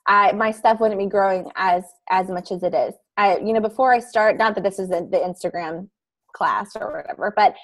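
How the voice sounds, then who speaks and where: A woman talking casually and excitedly about podcasting, laughing often and running sentences together. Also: medium pitch. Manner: bubbly, laughs often, fast run-on sentences. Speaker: podcaster guest. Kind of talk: casual panel chat.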